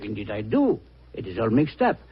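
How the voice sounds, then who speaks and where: An actor playing an excitable, skeptical French gentleman in an old radio drama, with a French accent and florid, hesitant, theatrical phrasing. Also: on the low side, normal speed, slightly rough, heavily acted. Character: excitable, skeptical, courtly Continental gentleman, mercurial. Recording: muffled old radio recording.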